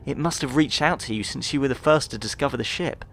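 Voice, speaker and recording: A man's voice: medium pitch, fast, creaky, a bit bright, a little hiss or hum, pitched above the performer's own voice. A man voicing an earnest, anxious female expedition scientist in a dramatised sci-fi audiobook, with urgent delivery. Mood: earnest, astonished.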